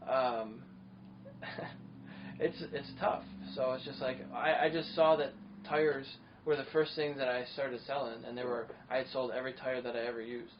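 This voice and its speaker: A man's voice: mid-range voice, fairly even intonation, normal speed. Enthusiastic rambling podcast host man. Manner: rambling, enthusiastic, many fillers. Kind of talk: casual chat. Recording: dull lo-fi sound with faint noise.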